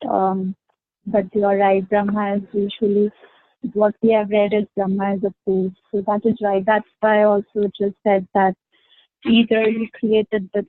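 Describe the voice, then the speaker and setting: A woman with a low, gentle voice talks through an informal class, pausing often and restarting her sentences. She sounds dry and narrow, as if over a call line. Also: no room sound. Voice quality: low soft voice. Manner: hesitant, many fillers and restarts. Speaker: class speaker. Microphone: online call.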